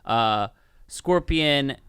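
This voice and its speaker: A man co-hosting a podcast, chatting casually in an excitable, rambling way with plenty of swearing. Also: mid-range voice, drawn-out words, smooth, dry recording, clean studio sound. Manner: excitable, profane, rambling enthusiast. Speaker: podcast co-host reviewing a film. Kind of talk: casual chat.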